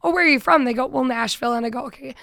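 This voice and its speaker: A woman with an Upper Midwestern accent hosting a radio interview in the studio, upbeat and quick to laugh. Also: sits high, gravelly, a bit bright, no room sound. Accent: Upper Midwestern American. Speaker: radio host.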